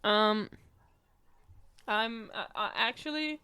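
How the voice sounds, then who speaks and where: Woman, smooth clear voice, dry studio-close sound, slangy, blunt, sweary podcast chat. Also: medium pitch, slow pace, bright, forceful tone, dry recording. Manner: blunt, profane, slangy, playfully exaggerating. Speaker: podcast co-host. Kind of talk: casual comedic banter.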